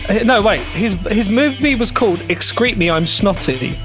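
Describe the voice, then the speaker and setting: A man's voice: high-pitched, big pitch swings, normal speed, music in the background. A man hosting a comedy podcast, reading a silly gossip segment aloud and laughing through it. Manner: giggly, keeps laughing mid-sentence. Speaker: podcast host.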